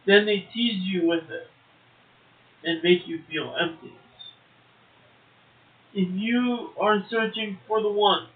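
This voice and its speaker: A man co-hosting a poetry show, reciting verse and adding brief casual remarks. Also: high voice. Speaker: poetry show co-host and poet. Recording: roomy echo, narrow phone-like sound.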